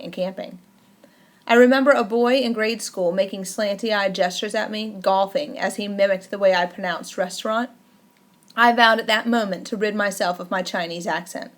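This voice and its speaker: A woman reading a first-person memoir aloud as an audiobook, in a measured, deliberate way with careful emphasis. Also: medium pitch. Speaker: audiobook narrator.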